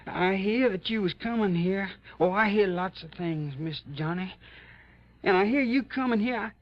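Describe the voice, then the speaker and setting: An actor in a vintage American radio drama playing a frightened, uneducated young man who pleads haltingly in broken, repetitive dialect. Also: medium pitch, close to an everyday voice. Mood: anxious pleading. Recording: muffled old radio drama recording.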